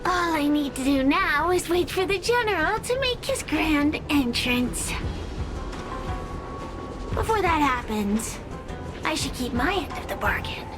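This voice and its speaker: A woman's voice: high voice, expressive, measured pace, pauses often. A woman voicing a scheming, gloating female spirit villain in a video game, with a haughty, theatrical delivery. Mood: gloating, menacing. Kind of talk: scripted video game voice acting. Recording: voice under prominent music.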